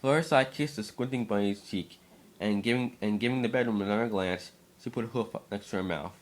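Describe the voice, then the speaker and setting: Lowish-voiced man, amateur story reader. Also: fairly quick, slight background noise. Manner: stumbles over words. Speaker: narrator. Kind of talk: dramatic story reading by an amateur.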